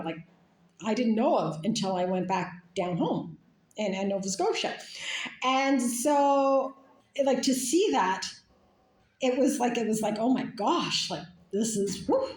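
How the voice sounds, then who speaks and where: A woman's voice: medium pitch, expressive, moderate pace, vocal fry, a little mellow, echoey room. A woman from Canada talking in a casual, rambling monologue, full of fillers and restarts. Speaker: speaker.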